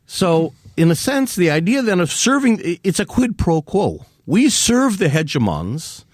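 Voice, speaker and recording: An older man speaking as a podcast guest with a fairly high voice that swings widely in pitch. He presses his points emphatically, stacking repeated words as he builds an argument. The studio sound is dry and close. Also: big pitch swings, unhurried, no room sound. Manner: acts out mock quotes in a raised voice. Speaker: author and activist guest. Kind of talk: podcast interview.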